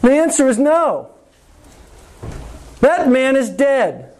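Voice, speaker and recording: A man preaching a sermon to his congregation, slow and deliberate, with dramatic pauses and repetition. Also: extremely high pitch, big pitch swings, darkish tone. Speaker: pastor.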